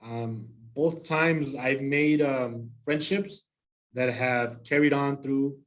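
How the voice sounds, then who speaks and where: A man in his thirties from El Paso, Texas, whose first language is Spanish. He gives an easygoing talk to students as an Army sergeant, with frequent pauses. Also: medium pitch, fairly even intonation. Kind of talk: presentation to students.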